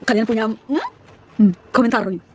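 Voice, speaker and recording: A woman of about thirty from East Nusa Tenggara, speaking with its regional Malay accent, chatting to viewers on a phone livestream and telling her story in rapid, chatty bursts full of asides. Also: on the high side, a little mellow, a little hiss or hum. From East Nusa Tenggara, Indonesia. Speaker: live streamer. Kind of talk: casual live-stream testimony to viewers.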